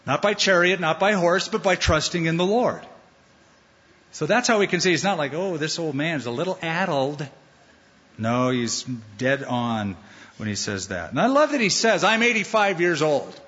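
Pastor guy preaching, kinda high bright voice, big echoey church, dull sound. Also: normal speed. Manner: animated, acts out quoted voices playfully. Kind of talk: sermon. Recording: dull sound in a large hall with long reverb.

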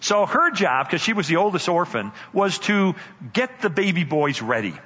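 Lively husky older preacher in a hall. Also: male voice, high voice. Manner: dramatic storyteller, slows for emphasis. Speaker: guest speaker. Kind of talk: storytelling sermon. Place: church sanctuary. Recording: dull church hall reverb.

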